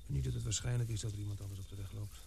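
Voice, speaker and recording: A man acting in a Dutch radio drama as an anxious, protective adventurer, with halting, dramatic phrasing. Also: lowish voice, steady tone, gravelly. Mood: wary, hushed. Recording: noisy old radio play, loud background ambience.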